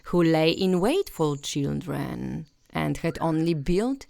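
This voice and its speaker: A woman narrating a bedtime fairy tale as a storyteller, in a gentle, soothing and expressive way. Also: low voice, slow pace, gravelly, no room sound.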